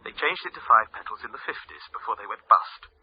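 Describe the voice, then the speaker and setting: A man playing an anxious, despairing art buyer in a radio drama, speaking in an agitated, halting, plaintive way. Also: fairly low pitch, lively intonation, slightly rough. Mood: grave confession. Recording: roomy, dull radio drama phone scene.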